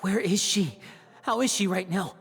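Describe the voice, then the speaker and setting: A performer voicing a weary, earnest, quietly worried young man in a video game, with a subdued, concerned delivery. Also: mid-range voice, moderate pace, creaky, soft background music. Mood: weak, worried.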